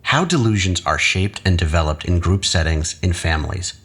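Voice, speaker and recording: A low-voiced, husky, condescending husband. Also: male voice, lively intonation, fairly bright tone, exaggerated voice. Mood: reminiscing, lecturing. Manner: measured, coaxing, patronizing. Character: manipulative husband, an academic doctor, calmly condescending and persuasive. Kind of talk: audio drama acting.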